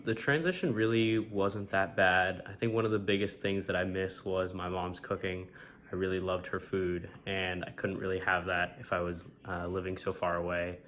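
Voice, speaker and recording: A young man from Maryland, a college student, talking about leaving home in a relaxed, easygoing way. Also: deep voice, normal speed, slight background noise. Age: young adult.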